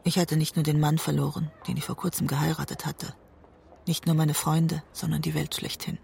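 An actress playing a haunted woman looking back on her marriage, husky and breathy, in grave, restrained audio drama narration. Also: low-pitched, little pitch movement, fairly quick, darkish tone. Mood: haunted, tense. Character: haunted woman writer recalling a destructive marriage. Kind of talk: literary first-person audio drama narration.

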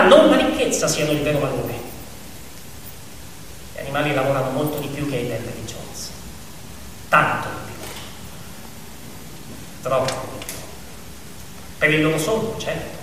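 A man performing a theatrical narrative monologue as actor-narrator, dramatic and declamatory, with repeated phrases and pointed pauses. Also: fairly high pitch, fairly bright tone. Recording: very echoey hall from far off, faint noise.

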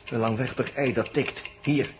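An actor playing a grumbling, sarcastic spaceship technician in 1960s Dutch radio drama, with a Netherlands accent. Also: male voice, mid-range voice, fairly even intonation, moderate pace, natural voice. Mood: grumbling, tense. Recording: noisy old 1960s radio recording.

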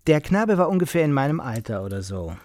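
An actor playing a talkative middle-aged host in a radio play, genial with a needling edge and effusive small talk. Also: male voice, a little high, very animated, fast, a little mellow, dry recording, studio quality. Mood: storytelling. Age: 40s. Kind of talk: naturalistic radio play acting.

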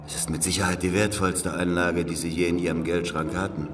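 An actor playing a worn, calculating older spy in a German historical radio drama, speaking in a grave, deliberate, conspiratorial way. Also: male voice, low voice, little pitch movement, recorded from a distance, music in the background, close to an everyday voice. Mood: confiding, deliberate.

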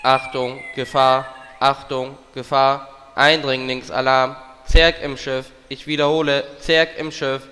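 Man playing a ship computer, loud alarm calls, mechanical, sleazy. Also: mid-range voice, little pitch movement, takes it slowly, in a large hall, slight background noise. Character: lecherous male ship computer, blaring alarms.

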